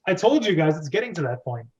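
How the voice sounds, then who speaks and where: Guy with a kinda high voice hosting a script read, jokey theatrical delivery, muffled lo-fi sound. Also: sing-song, moderate pace. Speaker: host and script reader. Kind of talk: informal screenplay read-aloud with casual asides. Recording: dull lo-fi audio.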